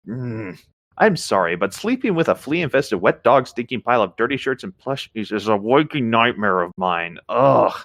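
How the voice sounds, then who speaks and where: A man's voice: mid-range voice, fairly bright tone, dry recording. A man co-hosting a casual reading of a story, adding chatty asides as he goes. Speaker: co-host reading a story aloud with commentary.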